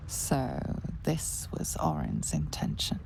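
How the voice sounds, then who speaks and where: A woman playing a grave, composed companion in a video game, speaking in a measured, solemn way that slips toward a whisper. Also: a little mellow, a little hiss or hum, close to an everyday voice, rough recording. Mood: solemn warning. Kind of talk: dramatic video game acting.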